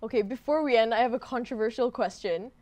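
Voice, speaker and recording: A young woman hosting a student television talk show from a studio set, friendly and quick to laugh. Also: a little high, lo-fi sound, background noise. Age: young adult. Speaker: host.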